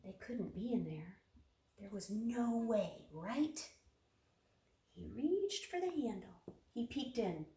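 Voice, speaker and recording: A woman reading a children's picture book aloud in an expressive, dramatic way, putting questions to young listeners. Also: on the high side, slow pace, pauses often, recorded from a distance, a little hiss or hum. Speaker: storybook reader. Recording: roomy echo, off the mic.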